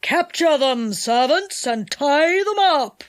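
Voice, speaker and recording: A woman voicing a bullying male enchanter in a storybook, loud and commanding, drawing out his words. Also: animated, slow. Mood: furious. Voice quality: loud, booming.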